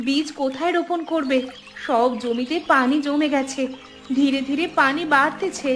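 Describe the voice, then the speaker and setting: A woman's voice: high voice, steady tone, normal speed, a bit bright, faint music behind. A woman voicing a poor, caring village wife and mother in a cartoon folk tale, with plaintive dramatic delivery. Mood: alarmed.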